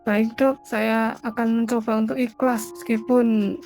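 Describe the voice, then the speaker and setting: A woman voicing a worried, devoted middle-aged mother in an animated horror drama. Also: a little high, a little slow, faint music behind. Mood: sorrowful, resigned.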